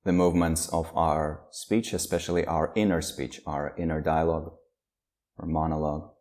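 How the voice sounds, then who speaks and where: A man leading a guided meditation, speaking slowly and calmly with long pauses between phrases. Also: very deep voice. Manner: slow, calm, with long pauses. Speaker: meditation teacher.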